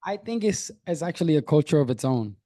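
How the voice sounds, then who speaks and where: A man's voice: fairly high pitch, sing-song, brisk, mellow, no room sound, clean studio sound. A man hosting an interview, chatting casually and enthusiastically, often repeating himself. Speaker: interview host.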